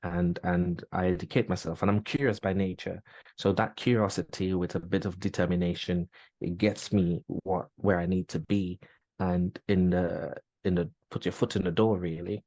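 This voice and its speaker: A man's voice: low voice, fairly even intonation, normal speed, darkish tone, no echo. A man, a veteran guest in an interview, speaking candidly and reflectively with many fillers and restarts. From Caribbean, grew up in Grenada. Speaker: podcast guest, military veteran. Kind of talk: casual interview chat.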